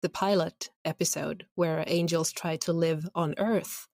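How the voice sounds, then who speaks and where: A woman's voice: low voice, steady tone, a little slow, darkish tone, dry recording. A woman chatting casually as an interview guest, with a wry, playful manner. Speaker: audio drama creator, podcast guest.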